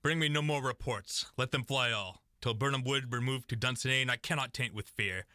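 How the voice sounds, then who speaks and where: A man's voice: medium pitch, fairly quick, sharp tone, no echo, clean studio sound, lightly acted. A man acting a defiant tyrant king in a Shakespearean radio play, declaiming with scorn and command. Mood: defiant, scornful.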